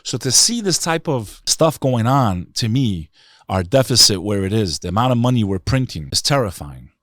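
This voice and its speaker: Middle aged new guy, lowish voice, super earnest and emphatic podcast guest, dry close sound, keeps asking rhetorical questions. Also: sing-song, moderate pace, a bit bright, no echo. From New York City area, United States. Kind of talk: impassioned opinion and personal storytelling.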